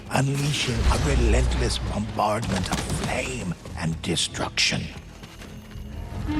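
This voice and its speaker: A man narrating as a grave storyteller of samurai ghost legends in a video game, measured and dramatic. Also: lowish voice, heavy background noise. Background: loud cinematic music.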